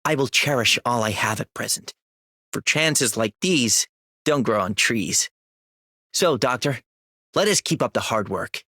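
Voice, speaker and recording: A polite studious young guy for a game, mid pitch, measured, dry sound. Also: lively intonation, bright, forceful tone, dry recording, full character voice. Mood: grateful, earnest. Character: studious, polite, earnest young male operator. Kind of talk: scripted game voice lines.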